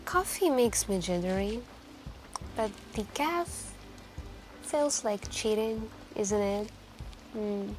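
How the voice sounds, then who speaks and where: A woman acting as a wistful, lonely woman speaks softly and slowly in an intimate ASMR roleplay. She is hesitant and confiding, drifting between thoughts with frequent pauses, over quiet background music. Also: mid-range voice, lively intonation. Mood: shy, warm. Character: wistful, lonely woman chatting shyly with a stranger.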